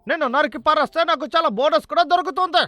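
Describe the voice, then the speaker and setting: High, bright, scheming cartoon logger. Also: male voice, rapid-fire, faint music behind. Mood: gloating, eager. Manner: exaggerated, talks to himself, chuckling. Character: scheming, excitable male cartoon logger, grumbling and boastful. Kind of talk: cartoon dub acting.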